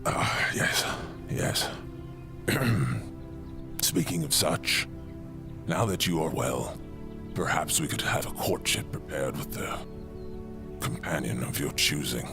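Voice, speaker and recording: An older man playing a doting, gentle king and father in a fantasy audio drama, with gravelly, courtly, formal speech. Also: a bass voice, slow pace, heavily acted. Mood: gentle, indulgent. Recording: music under the voice.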